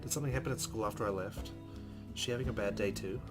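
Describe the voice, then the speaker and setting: A man's voice: on the low side, fast talker. A man hosting a let's play, expressively reading visual novel narration aloud with chatty casual asides. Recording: music playing behind voice. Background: game music with guitar.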